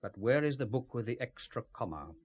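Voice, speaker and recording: An actor playing a cagey, ruthless British spymaster in an audio drama, with formal, understated speech and a husky voice. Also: male voice, mid-range voice, lively intonation, brisk, darkish tone. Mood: alarmed, angry.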